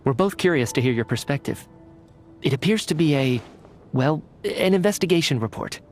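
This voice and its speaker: Man acting as a higher-voiced, analytical young investigator. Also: animated, soft background music, close to an everyday voice. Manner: deliberate, articulate, thinking aloud. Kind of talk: anime-style video game cutscene acting.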